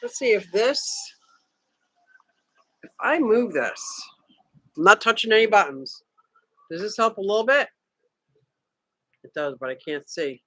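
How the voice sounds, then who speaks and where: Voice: mid-range voice, expressive, a little slow, long pauses, bright, forceful tone, no room sound. A livestream host chatting casually with viewers from a vacation room, joking around and greeting people by name. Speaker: livestream host reading chat. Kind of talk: casual live chat.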